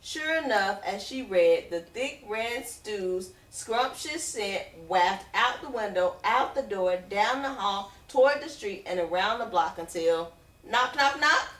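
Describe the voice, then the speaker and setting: Woman reading a storybook, very animated, echoey room. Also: medium pitch. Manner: expressive, dramatic pauses and drawn-out words. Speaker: picture book reader. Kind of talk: animated children's read-aloud storytelling. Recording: roomy echo, distant from microphone.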